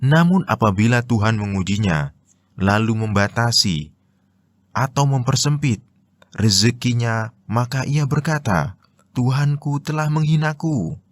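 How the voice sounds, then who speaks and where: A man's voice: mid-range voice, animated, unhurried, pauses often, no echo. A man with an Indonesian accent reading religious commentary as formal scripted narration.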